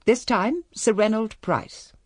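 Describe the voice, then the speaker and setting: An actress playing a reserved lady of the house with an upper-class English accent, measured and politely guarded in a radio drama. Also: fairly high pitch, no echo. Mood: reflective. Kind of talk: radio drama, first-person narration and dialogue.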